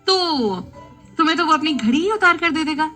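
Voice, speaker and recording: A woman voicing a sharp-tongued, sarcastic young college girl. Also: high voice, expressive, normal speed, faint music behind, pitched above the performer's own voice. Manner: teasing, sarcastic.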